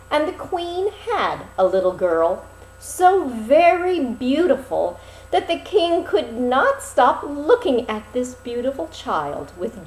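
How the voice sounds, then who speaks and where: A woman narrating a fairy tale expressively, with dramatic, deliberate phrasing and pauses. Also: a little high, darkish tone, slight background noise. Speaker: storyteller. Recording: roomy, echoing sound.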